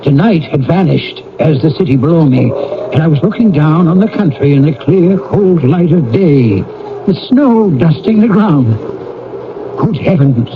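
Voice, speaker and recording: A man's voice: on the high side, expressive, measured pace, background noise. An actor playing a frightened, defensive old miser in a radio drama, theatrical and wavering as he tells his tale. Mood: amazed. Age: older. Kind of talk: dramatic radio acting and storytelling. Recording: muffled old radio recording with music.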